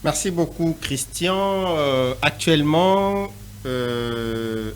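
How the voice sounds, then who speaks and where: A man's voice: a little high, lively intonation, very slow, slight background noise. A man from DR Congo speaking as a panelist in a televised debate, pushing rhetorical questions with growing intensity.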